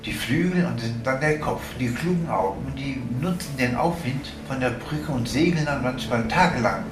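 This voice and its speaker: A man's voice: mid-range voice, animated, normal speed, music in the background. An older man, a former seaman, recalls the sea in spontaneous, discursive and marvelling speech full of vivid description. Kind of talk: spontaneous spoken reminiscence in a radio feature.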